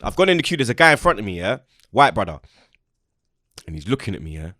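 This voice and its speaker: A man's voice: lowish voice, sing-song, fast, bright, forceful tone, dry recording, clean studio sound. A young man with a London accent, hosting a podcast and telling a casual, slangy story. He acts out the lines of the people in it. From England. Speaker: podcast host.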